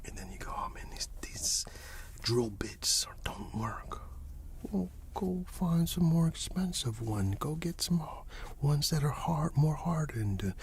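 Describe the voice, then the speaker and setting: A man giving an encouraging, rambling ASMR monologue that slips between a breathy whisper and soft speech, often repeating himself. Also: medium pitch, noisy background. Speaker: ASMR channel host.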